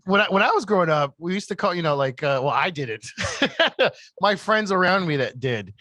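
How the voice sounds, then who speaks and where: Brief: rambling, laughing male podcast co-host, bright and animated, close dry mic. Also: normal speed, no echo. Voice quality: high-pitched voice. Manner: laughs a lot, rambling, self-deprecating. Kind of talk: casual chat. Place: radio studio. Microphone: studio mic.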